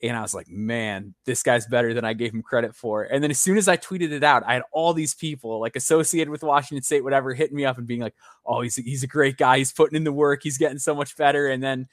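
A man's voice: medium pitch, fairly even intonation, fast talker, hardly any pauses, no room sound, studio quality. A young man hosting a basketball interview show, eager and talkative, often repeating words as he goes, over a video call. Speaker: podcast host.

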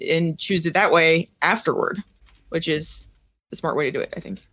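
A woman's voice: sits low, moderate pace, fairly bright tone, dry recording. A woman co-hosting a casual pop culture chat in an easygoing, conversational way. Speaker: podcast co-host.

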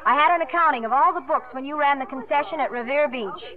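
An actress playing a poised, spirited young stage star in a 1950s radio drama, on a tinny broadcast recording. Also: female voice, high voice, fast, a crowd in the background, close to an everyday voice. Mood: firm.

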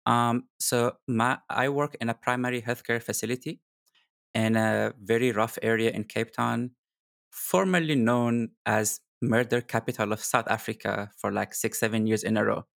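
A man's voice: mid-range voice, fairly even intonation, moderate pace, gravelly, fairly bright tone, no echo, clean studio sound. A man who grew up in Kuwait, speaking earnestly in his third language as a doctor being interviewed. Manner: earnest, with occasional restarts in a non-native English. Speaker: guest doctor. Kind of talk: casual interview talk.

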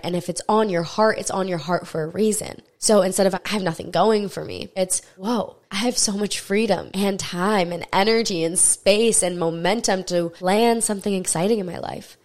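A young woman with a stuffy nose hosting a solo podcast, talking candidly and earnestly, swearing casually as she goes. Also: mid-range voice. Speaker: podcast host.

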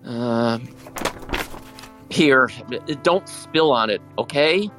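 A man playing a guarded, wry high school senior in an audio drama, with a casual, dry delivery. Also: lively intonation, extremely slow, music in the background. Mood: softened, caring.

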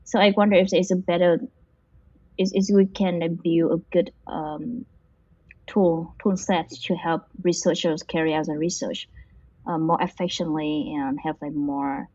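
A woman's voice: on the low side, steady tone, measured pace, warm tone, slightly rough, dry recording. A woman from Vietnam, an interview guest working in machine learning, answering casually and hesitantly, with many fillers and restarts. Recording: dull muffled lo-fi audio.

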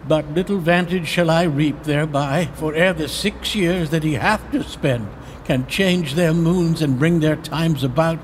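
An actor playing a grieving, frank old duke, speaking Shakespearean verse with solemn declamation. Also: male voice, a little high, measured pace, lightly acted, low-quality recording. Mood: sorrowful. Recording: faint background noise.

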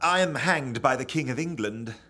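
An actor playing a fearful, grave Shakespearean courtier with portentous declamation in a comedic audio drama. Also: male voice, medium pitch, normal speed, pushed and bright. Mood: resigned.

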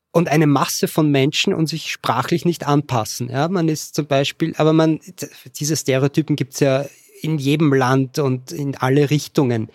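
A man with an Austrian accent, a podcast guest explaining things casually in careful, deliberate High German meant for learners. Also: darkish tone, no echo, studio quality.

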